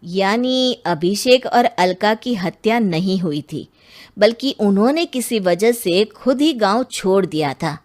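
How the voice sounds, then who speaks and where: A dutiful cartoon police inspector , played by a woman, speaks at an even middle pitch. He questions people earnestly and politely, steady and respectful throughout. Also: slow pace. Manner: earnest, polite questioning, addressing others as sir. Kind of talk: Hindi cartoon voice acting.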